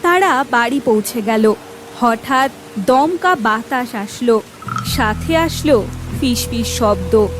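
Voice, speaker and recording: A woman's voice: on the high side, animated, slow pace, music in the background. A woman reading scripted narration for a cartoon story.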